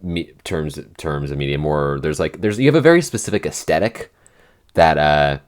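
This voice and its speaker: Very deep-voiced man, halting casual chat. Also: animated. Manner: halting, searching for words. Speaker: podcast writer. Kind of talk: casual behind-the-scenes Q&A chat.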